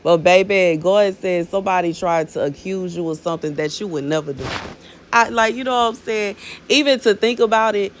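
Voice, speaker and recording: Woman, thirties, casual prophetic message, rambling, chatty fillers, mid-pitched voice. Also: brisk, slight background noise. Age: 30s. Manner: rambling, fills with "you know what I'm saying", calls viewers "baby". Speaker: minister sharing prophetic words. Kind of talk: casual spiritual talk to viewers.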